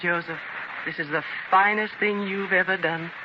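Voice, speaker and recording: A woman's voice: lowish voice, steady tone, normal speed, lightly acted. A woman acting in a 1930s radio drama as the devoted, anxious wife of an ailing man, earnest and theatrical. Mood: proud. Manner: earnest, theatrical, hesitant on hard news. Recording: scratchy, muffled 1930s radio transcription.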